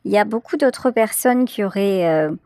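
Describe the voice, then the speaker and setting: A woman giving a tarot reading straight to the listener, reflective and pausing to search for her words. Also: medium pitch, animated, a little mellow, no echo, clean studio sound. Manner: hesitant, reflective, searching for words with pauses and repetitions. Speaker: tarot reader, channel host. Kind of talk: intuitive tarot reading addressed to the viewer.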